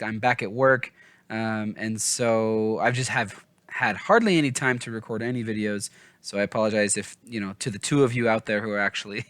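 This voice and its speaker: A man's voice: mid-range voice, a bit bright, no room sound. A man talking casually as a book vlogger, informal and self-deprecating, often wandering off on side thoughts. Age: adult, father of small children. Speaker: book reviewer and vlog host. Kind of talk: casual, rambling book discussion.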